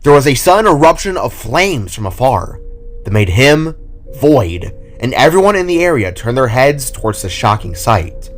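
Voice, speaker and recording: A man narrating an audio drama in an even, unhurried reading voice. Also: medium pitch, slight background noise.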